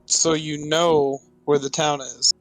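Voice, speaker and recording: Male tabletop player, higher-than-average pitch, insistent casual delivery, very dry recording. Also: flat delivery, takes it slowly, no echo, soft background music, rough recording. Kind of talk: casual roleplay chat.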